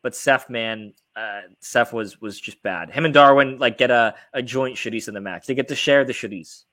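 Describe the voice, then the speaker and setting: Bright-voiced man hosting a football podcast. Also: medium pitch, fairly quick. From United States. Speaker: sports podcast host. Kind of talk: casual football fan discussion.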